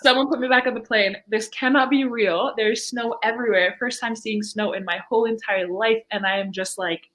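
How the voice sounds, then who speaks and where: A woman's voice: fairly high pitch, fairly even intonation, brisk, few pauses, a bit bright. A young woman from Fiji, a podcast guest, telling her story casually and laughing often. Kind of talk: casual interview chat.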